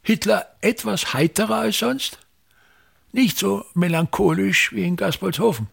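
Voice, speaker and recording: A man's voice: sits high, a little slow, gravelly, breathy edge, exaggerated voice. An actor in a radio play as a pompous, self-pitying older theatre director and father, grandiloquent and complaining, repeating himself. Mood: imperious, instructing.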